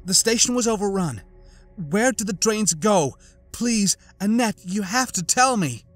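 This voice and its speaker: A man reading an audiobook, voicing a wary young woman who speaks quickly with desperate urgency. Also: sits high, animated, soft background music, voice pushed up. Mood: urgent.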